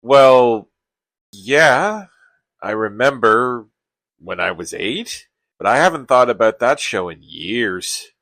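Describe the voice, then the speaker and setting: A skeptical, laid-back buddy, played by a man trading sardonic wisecracks in a radio comedy. His mid-pitched voice moves around a lot in tone. It is recorded dry and close. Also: lively intonation, slow, gravelly, a bit bright, no room sound, exaggerated voice. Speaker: actor in a radio comedy play. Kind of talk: scripted radio play comedy dialogue.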